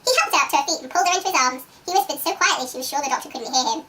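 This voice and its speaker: Very high, bright woman reading dramatically. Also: rapid-fire. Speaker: reader of book excerpts. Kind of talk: dramatic amused reading aloud. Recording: distant from the microphone.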